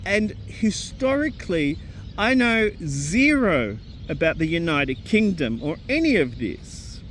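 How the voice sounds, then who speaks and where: A man speaking English as a second language, earnestly recounting his experience as a host, deliberately spelling out details. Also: on the high side, big pitch swings, a bit bright, a little hiss or hum. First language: not English. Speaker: psychic medium, host. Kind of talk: personal recounting to camera.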